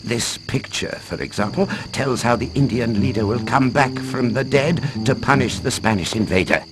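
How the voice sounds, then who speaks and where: An actor playing a mild, learned professor who explains ancient lore with hesitant little chuckles in a game cutscene. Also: male voice, medium pitch, moderate pace, close to an everyday voice. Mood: storytelling, intrigued. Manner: expository storytelling with hesitant chuckles. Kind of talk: scripted video game cutscene acting.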